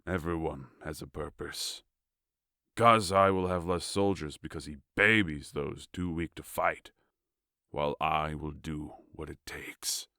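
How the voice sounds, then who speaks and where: A man playing a large, ruthless warrior king in a fantasy audio story, speaking in a measured, commanding, formal way. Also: extremely low pitch, steady tone, sharp tone, clear, resonant tone, dry recording, studio quality, heavily acted. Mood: cold, resolute. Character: large, tall warlord king, cold, commanding, ruthless strategist. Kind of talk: audio fiction acting.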